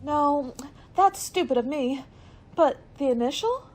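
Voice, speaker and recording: A woman's voice: high voice, lively intonation, a little slow, darkish tone. A woman playing an earnest, fretful secretary loyal to her detective boss in a radio mystery. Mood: puzzled. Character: anxious, loyal young female secretary to a detective. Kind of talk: old-time radio mystery acting.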